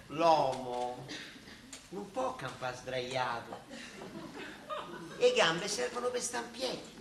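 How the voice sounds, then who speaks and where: A man's voice: on the high side, exaggerated voice. An actor playing a grumbling, blustery middle-aged Roman bricklayer father in a dialect radio drama, speaking with a Roman accent. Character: exasperated Roman father, bricklayer, scolding and worrying over baby. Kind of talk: stage-style dialect radio drama acting. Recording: roomy, distant, noisy stage recording.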